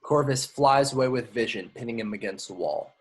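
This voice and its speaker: A man's voice: mid-range voice, a little fast, slightly rough. A man reading screenplay action lines at a table read, matter-of-fact with the odd stumble. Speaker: stage-direction reader.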